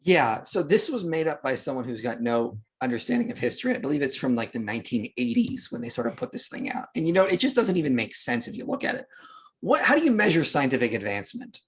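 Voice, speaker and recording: A man's voice: medium pitch, big pitch swings, quick pace, breathy edge. A man speaking as a podcast guest, explaining and debunking informally in emphatic, punchy phrases.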